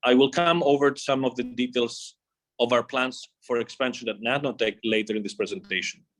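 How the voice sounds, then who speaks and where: A man's voice: medium pitch, brisk, a bit bright. Man reading a formal corporate presentation. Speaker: company executive presenting on an earnings call.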